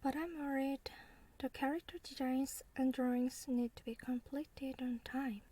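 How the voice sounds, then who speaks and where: A woman speaking in a soft, breathy whisper with careful, unhurried words, role-playing a writer in an ASMR style. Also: high-pitched, fairly even intonation. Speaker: ASMR role play performer.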